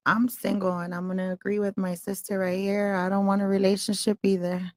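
A woman's voice: medium pitch, level tone, a little fast, darkish tone, no room sound. Matter-of-fact woman in her thirties. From Miami. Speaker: guest. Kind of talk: casual chat.

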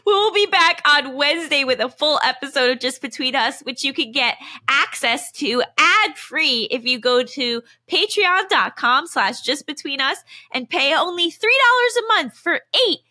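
A woman's voice: sits high, expressive, moderate pace, hardly any pauses, pushed and bright. A woman co-hosting a casual comedy podcast, giggly and prone to talking through laughter. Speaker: podcast co-host.

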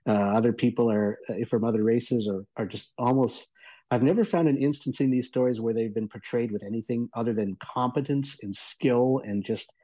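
A man with a mellow, relaxed voice talks as a guest reviewer. His delivery is conversational and halting, doubling back on words to stress his points. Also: medium pitch.

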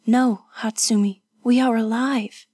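A woman voicing a calm, tender and resolute young noblewoman in an audiobook, speaking gently and firmly. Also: fairly high pitch, a little slow, husky, no echo, clean studio sound, voice pushed up. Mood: soothing. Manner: gentle, soothing, firm. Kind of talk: audiobook character voice.

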